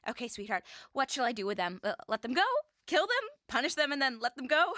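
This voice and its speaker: A woman's voice: high voice, very animated, fast talker, bright, forceful tone, no echo, studio quality, full character voice. A woman playing a sweetly menacing female stalker. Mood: doting. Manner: sweetly doting with a menacing edge. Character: obsessive ex-military female stalker, cheerful and dangerous.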